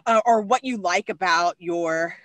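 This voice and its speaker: Brief: animated woman in her 40s, high carrying voice, loud and quick, dry close webcam sound. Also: no echo, rough recording. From Texas. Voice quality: high-pitched voice that carries. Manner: animated, loud and fast, with expressive hand gestures. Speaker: podcast co-host. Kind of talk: casual conversation. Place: small room indoors. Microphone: video call webcam.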